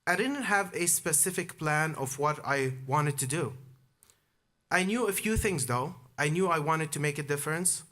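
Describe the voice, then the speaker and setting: A man's voice: a little high, lively intonation, normal speed, sharp tone. A man in his thirties from Jordan delivering a prepared commencement speech built on his personal story. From Amman, Jordan. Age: 30s. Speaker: commencement speaker, alumnus.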